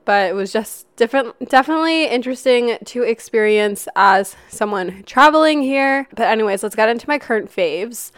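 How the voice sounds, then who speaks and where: A young woman from Canada hosting a casual solo podcast, her voice raspy from a cough, chatty and upbeat. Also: on the high side, expressive, normal speed, hardly any pauses, dry recording, studio quality. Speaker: podcast host. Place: apartment while traveling. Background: faint traffic noise.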